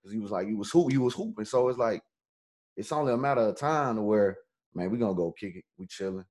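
A man's voice: on the low side, expressive, quick pace, darkish tone. A man hosting a casual chat, laid-back and slangy. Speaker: host.

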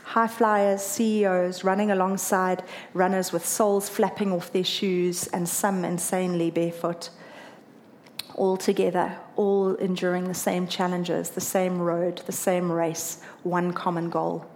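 A woman's voice: on the low side, measured pace, slight background noise. A woman from South Africa with a South African accent, earnestly telling a personal story about running a marathon. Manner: earnest, emotional, lively when quoting others.

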